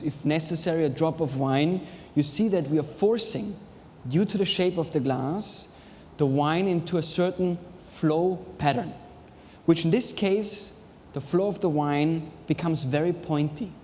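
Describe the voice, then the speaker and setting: A man's voice: fairly high pitch, warm tone, slight background noise. Austrian man presenting in a big hall. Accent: American-learned English over an Austrian base. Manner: showman's pauses, chopped phrases, dry jokes. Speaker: wine tasting host. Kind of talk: live presentation to an audience. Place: large hall with a live audience. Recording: reverberant hall, faint ambience.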